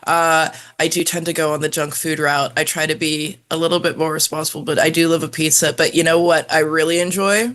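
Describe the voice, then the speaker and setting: Woman, panelist, chatty, friendly, slightly rough voice from illness, video call. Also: low voice, flat delivery, fairly quick, hardly any pauses, a bit bright. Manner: chatty, friendly, light laughter. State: recovering from illness, throat a bit rough. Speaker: program manager, panelist. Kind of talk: casual panel introduction.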